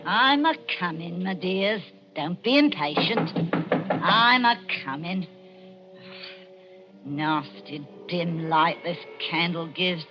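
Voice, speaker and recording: A woman playing a sharp-tongued, grumbling old crone in a 1940s radio drama. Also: on the low side, takes it slowly, over music. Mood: muttering, impatient. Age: older. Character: scolding old crone, mother of a body-snatching household. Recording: noisy, muffled 1940s radio recording.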